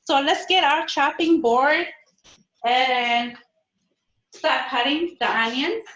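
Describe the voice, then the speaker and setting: A woman's voice: sits high, takes it slowly, a bit bright, echoey room, highs cut off. A woman from Ethiopia and Eritrea teaching a live online cooking class from her kitchen, friendly and patient, often checking in with her students. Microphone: video call.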